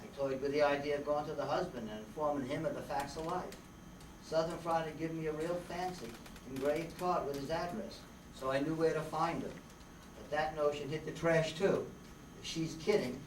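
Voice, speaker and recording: A man's voice: a little high, close to an everyday voice. A man playing a wisecracking, hard-boiled private eye in an old-time radio drama, slangy and sardonic. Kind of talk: old-time radio detective narration and dialogue. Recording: roomy, noisy, distant microphone stage recording.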